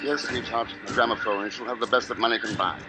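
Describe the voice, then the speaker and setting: An actor playing a grave, resolute middle-aged husband and father, narrating in measured, dramatic 1950s radio style. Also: mid-range voice, fairly even intonation, lightly acted. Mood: reassuring. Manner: measured, brooding, dramatic delivery. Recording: vintage 1950s radio broadcast, loud music.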